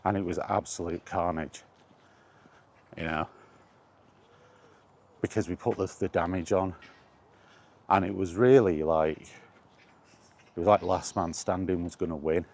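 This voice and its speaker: A man's voice: low voice, fairly even intonation, measured pace, long pauses, darkish tone, a little hiss or hum. A British man chatting casually in a wry, colloquial way, like a solo vlogger rambling through his thoughts. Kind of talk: casual rambling chat about gaming.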